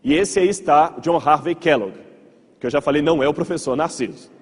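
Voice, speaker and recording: A man's voice: fairly high pitch, a little fast, a little hiss or hum. A man with a Brazilian Portuguese accent, a pastor giving an informal storytelling lecture to a congregation, conversational, repeating words for emphasis and checking in with listeners. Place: large hall with audience. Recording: reverberant hall, slightly muffled voice.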